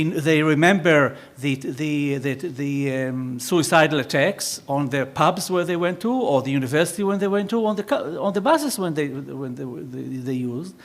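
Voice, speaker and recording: This is a man with a voice pitched somewhat high. He speaks as an expert answering from a panel. His delivery is emphatic and explanatory, with repeated words and rhetorical questions along the way.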